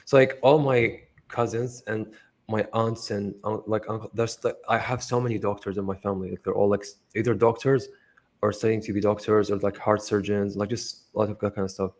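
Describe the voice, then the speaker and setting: Young man from Iraq, low-pitched, loose and rambling, fillers everywhere, casual swearing. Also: flat delivery, a little fast, a little mellow. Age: young adult. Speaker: interview guest. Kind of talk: casual conversational interview.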